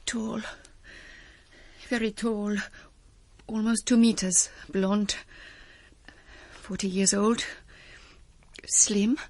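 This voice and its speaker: Hesitant solemn radio play woman, lots of vocal fry, very dry close sound. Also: mid-range voice, fairly even intonation, a little mellow, no room sound. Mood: uneasy, halting. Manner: hesitant, halting, short phrases. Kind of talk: radio drama acting.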